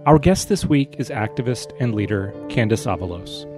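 An American man with a slightly husky voice, speaking as the host of an interview show. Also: fairly low pitch, animated, normal speed, over music. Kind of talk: scripted show introduction and interviewing.

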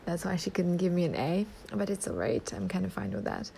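A young woman talks through her podcast in a loose, rambling way, filling pauses with ums and restarting her sentences. Her voice sits low and a little gravelly, with a dark, mellow tone. Faint room noise lies underneath. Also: big pitch swings. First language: not German. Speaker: podcast host. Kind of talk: casual solo podcast chat.

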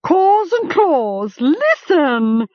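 Woman voicing a mocking, screeching raven, very high, storybook creature, dull lo-fi sound. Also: big pitch swings, drawn-out words, dry recording, heavily acted. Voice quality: screechy, cawing. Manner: haughty, mocking. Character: cantankerous screeching male raven.

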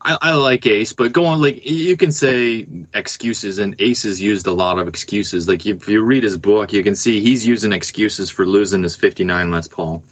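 Low-voiced man in casual chat. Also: normal speed, hardly any pauses, slightly rough, no echo. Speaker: podcast guest, musician.

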